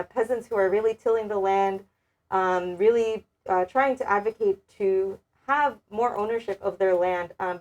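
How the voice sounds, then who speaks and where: A woman's voice: medium pitch, low-quality recording. A woman on a discussion panel who makes her points earnestly and asks pointed rhetorical questions.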